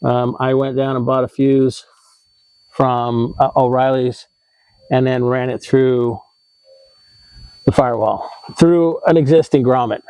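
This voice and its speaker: Mellow-voiced American man, casual how-to host. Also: mid-range voice, steady tone, a little slow, pauses often, clear, resonant tone, low-quality recording. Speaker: host of an RV tips video channel.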